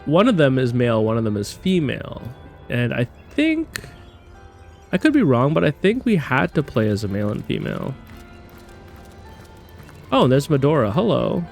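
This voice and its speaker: A man's voice: mid-range voice, huge pitch swings, moderate pace, gravelly, long pauses, faint music behind. A man giving casual game commentary, thinking aloud and speculating as he plays. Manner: musing, speculative. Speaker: gamer doing a playthrough.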